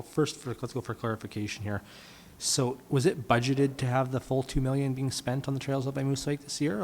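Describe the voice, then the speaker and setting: A man speaking as a councillor in a meeting debate, hesitant and often restarting his phrases. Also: medium pitch. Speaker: municipal councillor. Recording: reverberant meeting room, faint noise.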